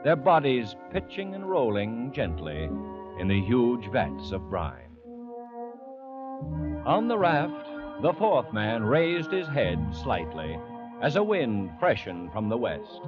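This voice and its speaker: A grave, deliberate storyteller whose pitch rises and falls dramatically. It is scripted 1940s radio narration. Clear music plays behind the dull old recording. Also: male voice, huge pitch swings. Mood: solemn storytelling.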